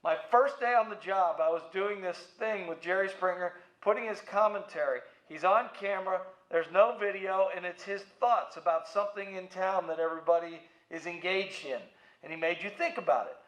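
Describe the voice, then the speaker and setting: A man's voice: high voice, normal speed, fairly bright tone. A middle-aged American man giving casual, playful interview answers in an anecdotal, storytelling way. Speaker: museum president and CEO, interview guest. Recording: echoey room, slightly dull audio.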